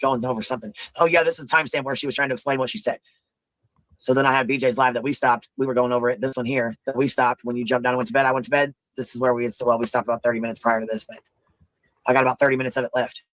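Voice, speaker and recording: A man with a deep voice hosting a casual livestream commentary, rambling and profane, often asking "you know what I mean.". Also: rapid-fire, fairly bright tone, dry recording, rough recording.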